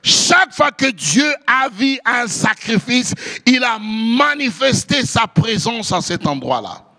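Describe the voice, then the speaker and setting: A pastor whose first language is English preaches in French in a very high, bright voice with a gravelly edge. He moves slowly and deliberately, rising into shouts and musical, chanting phrases as he exhorts his congregation. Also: very animated. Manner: impassioned preaching, swings between shouting and sing-song cadences. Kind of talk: sermon.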